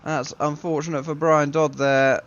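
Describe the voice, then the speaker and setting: A man with a self-mocking, casual manner commentates a league sim race, a bit ill with a deeper voice than usual. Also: level tone, normal speed, a bit bright, dull audio.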